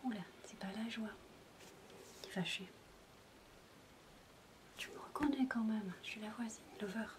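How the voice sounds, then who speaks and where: A woman in a soft-spoken, whispered ASMR roleplay, coaxing like a babysitter talking to a sulking child. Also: fairly high pitch, measured pace, long pauses, noisy background.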